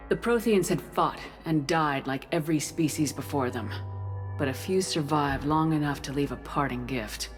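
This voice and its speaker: A woman's voice: sits low, lightly acted. A woman playing a determined military starship commander in a sci-fi video game. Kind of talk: video game cutscene acting and scripted narration. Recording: music behind the voice.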